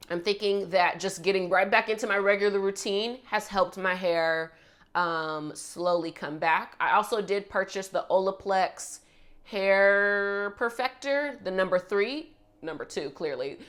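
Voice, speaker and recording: A young woman with a stuffy, congested voice from a recent cold talks through her hair routine at home. She sits at a middle pitch and keeps a chatty, upbeat flow as she explains each step to her viewers. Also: fairly bright tone. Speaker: beauty vlogger. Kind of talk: casual hair-care vlog.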